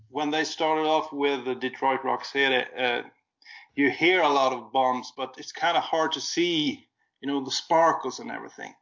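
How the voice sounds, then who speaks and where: A man from Sweden with a Swedish accent, chatting casually and a little haltingly as he recounts things as a guest. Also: medium pitch, creaky, fairly bright tone, dull audio. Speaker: guest recounting a concert he attended. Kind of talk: casual conversation.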